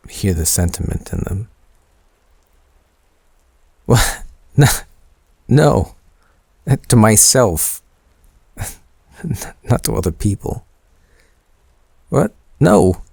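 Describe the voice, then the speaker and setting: A man playing a shy, sentimental young man in an intimate boyfriend audio roleplay, speaking hesitantly and teasingly with a creaky voice. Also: low-pitched, very slow, long pauses, no room sound, studio quality, heavily acted. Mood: flustered. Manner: hesitant, soft-spoken teasing, stammering.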